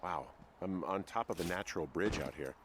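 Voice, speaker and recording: A lowish voice with faint noise behind it: an actor as a laid-back, middle-aged American man working as a fire lookout. He speaks in a dry, understated, slightly hesitant way, as in a story-driven video game. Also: a little fast, natural voice. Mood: observant. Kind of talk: naturalistic video game dialogue.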